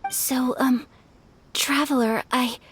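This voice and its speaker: A woman voicing a gentle, refined young noblewoman in a video game, earnest and wistful, soft-spoken, polite and measured. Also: high-pitched, steady tone, husky, exaggerated voice. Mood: shy, nervous. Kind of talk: video game story dialogue.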